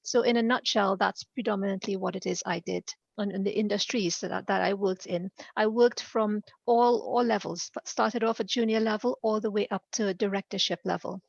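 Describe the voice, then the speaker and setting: Podcast guest: middle-aged Trinidadian woman, gravelly, measured, laughs often. Dry, dull call audio. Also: mid-range voice, fairly even intonation, no room sound. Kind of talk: podcast interview.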